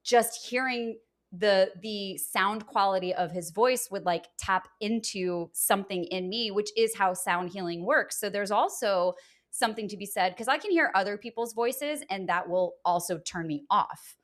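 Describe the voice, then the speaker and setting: A woman's voice: mid-range voice, normal speed. A woman from Atlanta, Georgia, talking frankly and expressively as a guest on a podcast, often laughing. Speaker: guest, producer. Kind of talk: candid podcast conversation.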